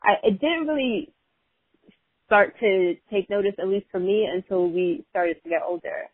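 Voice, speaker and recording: A woman's voice: mid-range voice, moderate pace, breathy edge, no room sound. A woman guest on a podcast, speaking thoughtfully with hesitant restarts. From Nigeria (family), raised in America. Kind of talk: casual chat. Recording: dry thin phone-like sound.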